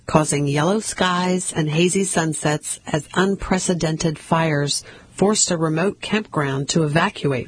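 A reader going through newspaper articles aloud at a steady pace, with occasional slips and restarts. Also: medium pitch, husky, poor audio. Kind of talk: reading news articles aloud.

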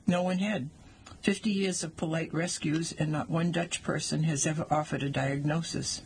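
A man's voice: fairly high pitch, fairly even intonation, moderate pace, gravelly, a little hiss or hum, rough recording. A man in his sixties reading a personal essay aloud with wry, dry humor.

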